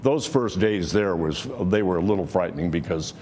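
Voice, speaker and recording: A man's voice: sits low, animated, normal speed, darkish tone, a little hiss or hum. An older man, a sports arena announcer, chatting in a plain-spoken, self-assured way in an interview. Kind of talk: interview chat.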